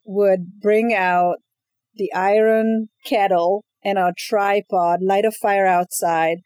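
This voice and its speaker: A woman from Hungary talks as a podcast guest, laying out each step in an easy, storytelling way. Her voice is mellow and soft-edged. The sound is dry, with no room around it. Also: mid-range voice, takes it slowly, clear, resonant tone, dry recording. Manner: lively storytelling, explains step by step. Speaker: guest, brand agency co-founder. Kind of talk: casual podcast interview.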